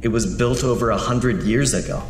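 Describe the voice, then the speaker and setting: An actor playing a frightened big-city architect, nervous and pleading in an audio horror drama. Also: male voice, medium pitch, normal speed, music in the background, close to an everyday voice. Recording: echoey room with faint hiss.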